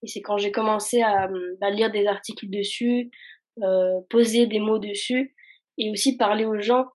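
Brief: young adult woman, husky mid-pitched voice, hesitant reflective speech. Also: fairly even intonation, moderate pace, darkish tone. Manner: hesitant, searching for words, many fillers. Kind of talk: casual conversation.

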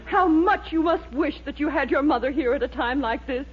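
Woman playing a high-voiced formidable American mother. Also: a little fast, a loud crowd, close to an everyday voice. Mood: sympathetic, suspicious. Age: middle-aged. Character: formidable, suspicious mother with a dry, combative streak. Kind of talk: 1940s radio situation comedy acting. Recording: muffled old radio recording, faint hiss.

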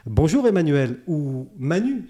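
A man's voice: on the high side, very animated, unhurried, mellow. A man hosting a podcast interview in a conversational, informal and jokey way, often interjecting.

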